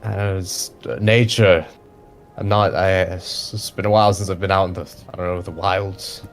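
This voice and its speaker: A man playing a rattled, halting, weary traveler. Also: sits low, fairly even intonation, faint music behind. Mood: flustered, weary.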